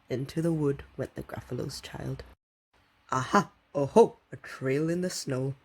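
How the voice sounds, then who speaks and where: Lady reading kids rhyming story, low mellow voice, bit husky. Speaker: storybook reader. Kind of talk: rhythmic children's story narration.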